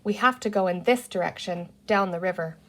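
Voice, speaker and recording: A woman's voice: fairly low pitch, brisk, a bit bright, close to an everyday voice. A woman voicing a bossy, sensible eldest girl in a children's storybook, firm and taking charge.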